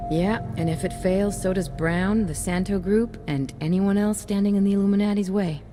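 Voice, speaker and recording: An actress playing a streetwise hacker ally in a video game, talking in a casual, blunt, slangy way with real concern. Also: female voice, medium pitch, normal speed, mellow, lightly acted. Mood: urgent, serious. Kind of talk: video game cutscene acting. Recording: music under the voice.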